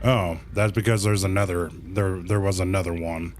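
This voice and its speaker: A man's voice: deep voice, steady tone, normal speed, faint music behind. A man giving casual livestream commentary on a game, thinking aloud in informal, slangy asides.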